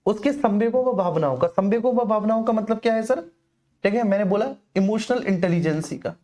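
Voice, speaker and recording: A man's voice: high-pitched, fairly quick, dark tone. A man teaching an exam coaching class informally, explaining repetitively and addressing his students directly.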